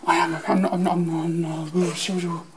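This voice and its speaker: Brief: jumpy woman explorer, low-ish voice, echoey derelict room with heavy noise. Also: level tone, a little fast. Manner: nervous, anxious exclamations. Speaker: urban explorer. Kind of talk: handheld exploring vlog. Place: dark abandoned building indoors. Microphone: handheld camera. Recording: echoey empty building, loud noise.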